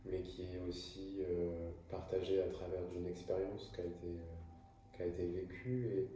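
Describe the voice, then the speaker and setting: A man from France gives a slow, hesitant talk full of fillers and restarts, with a low, flat voice. His tone is dark and mellow. He sits back from the microphone, and the room rings with heavy echo. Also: far from the mic, a little hiss or hum. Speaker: video creator. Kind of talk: improvised casual monologue. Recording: echoey room, voice from afar.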